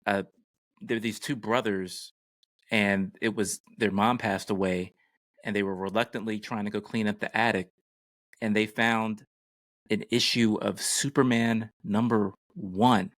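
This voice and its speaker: A man's voice: lowish voice, steady tone, a little slow, a bit bright, no room sound, studio quality. A man hosting a podcast, chatting casually and introducing topics. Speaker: podcast host.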